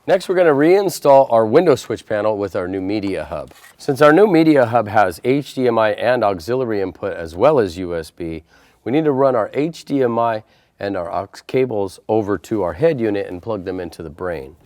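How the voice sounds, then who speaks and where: A man's voice: on the low side, animated, normal speed, a little mellow. A man presenting an installation tutorial, talking through each step in a plain, methodical, procedural way. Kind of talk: step-by-step instructional narration.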